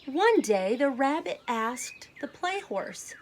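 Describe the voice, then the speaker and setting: Slow-paced woman reading to children. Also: sits high, sing-song, slight background noise. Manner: deliberate, simple phrasing addressed to young children. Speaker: children's read-aloud host. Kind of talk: storybook read-aloud to children.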